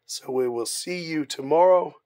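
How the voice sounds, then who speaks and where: Hoarse man presenting comic releases. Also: on the high side, moderate pace, a little mellow, no echo. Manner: informal, easygoing, stumbles now and then. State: hoarse, raspy from laryngitis. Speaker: comic shop staff presenting new releases. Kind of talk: casual product walkthrough. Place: comic shop, indoors.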